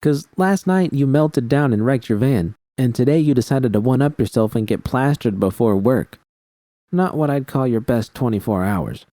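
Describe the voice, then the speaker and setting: A man's voice: mid-range voice, expressive, normal speed, dark tone, no echo, voice pushed up, lightly acted. A man voicing a tough, protective woman friend in an audiobook, blunt and streetwise. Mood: firm, concerned. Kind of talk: audiobook character dialogue.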